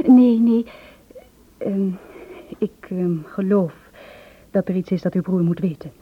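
A woman's voice: mid-range voice, slow pace, slight background noise, natural voice. An actress playing a nervous, secretive young married woman who confides in a hesitant, earnest way in a radio drama. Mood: hesitant, uneasy. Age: 20s. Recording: muffled old radio play recording.

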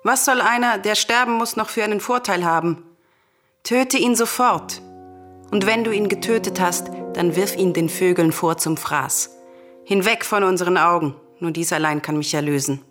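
An embittered young princess demanding revenge , acted by a woman in a classical radio tragedy. The voice sits fairly low, and the verse comes out imperious and merciless. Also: moderate pace, a bit bright, soft background music, lightly acted. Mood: merciless, bitter. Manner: hard, imperious, declamatory. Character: merciless, vengeful princess, embittered and unyielding sister.

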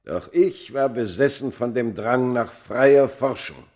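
Man acting a slow, brooding scholar narrator. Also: medium pitch, darkish tone, natural voice. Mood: solemn reminiscence. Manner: solemn, measured, wistful. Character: brooding ancient scholar recalling his fateful past. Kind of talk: dramatic first-person narration in a German radio play.

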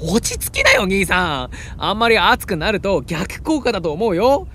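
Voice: mid-range voice, huge pitch swings, moderate pace, a bit bright, a little hiss or hum. An actor voicing a cheerful, carefree younger brother with easygoing, boyish casual speech in an anime-style audio drama. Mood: calm, teasing.

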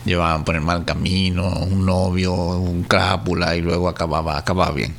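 A man with a deep voice. He talks conversationally, as a participant in a relaxed, casual radio discussion. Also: normal speed.

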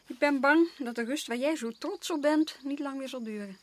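An actress as a higher-voiced, weary colonial wife. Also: female voice, normal speed, close to an everyday voice. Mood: warning, reproachful. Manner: pointed, reproachful. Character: weary, disillusioned colonial official's wife, sharp-tongued. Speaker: radio play actor. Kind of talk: radio drama acting in Dutch.

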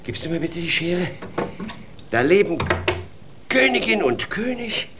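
A man's voice: fairly high pitch, very animated, unhurried, noisy background. A man playing a bemused, sceptical father who muses and mutters his way through a children's radio play. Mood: musing, busy. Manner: sceptical, musing, sometimes muttering. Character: bemused, pondering new father and poor would-be king.

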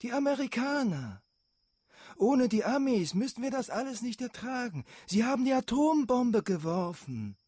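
A woman's voice: a little high, big pitch swings, moderate pace, dark tone, slightly rough, no room sound, studio quality. A woman playing a bossy, streetwise young gang leader in a German radio drama, conspiratorial and commanding. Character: bossy, streetwise older kid leading petty thieves. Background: faint music.

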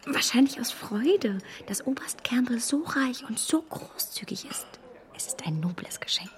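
An actress playing a witty, candid, self-assured young gentlewoman in a German radio drama. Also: a little high, lively intonation, moderate pace, in a whisper, natural voice. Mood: teasing, conspiratorial.

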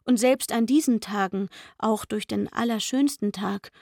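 An actress playing a pleading young chambermaid in a radio play, speaking intimately and wistfully. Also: female voice, mid-range voice, a little slow, darkish tone, dry recording, clean studio sound, lightly acted. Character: pleading young chambermaid luring a man into secret shelter. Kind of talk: literary radio play acting.

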